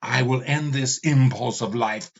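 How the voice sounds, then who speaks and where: A man voicing a weary, raging, all-seeing male demon king who speaks slowly and vehemently, in a dull lo-fi recording. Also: mid-range voice, big pitch swings, fairly bright tone, slightly rough, exaggerated voice.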